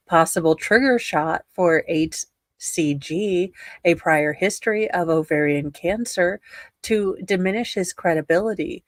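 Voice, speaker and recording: Slow, low-voiced woman, sardonic reader. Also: no echo. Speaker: co-reader in a dramatic reading stream. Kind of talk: reading a document aloud with casual commentary.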